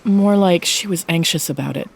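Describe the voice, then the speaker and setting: A woman's voice: on the low side, moderate pace, gravelly, slightly rough. A woman giving a scripted narration of a fiction story as its narrator, close to the microphone.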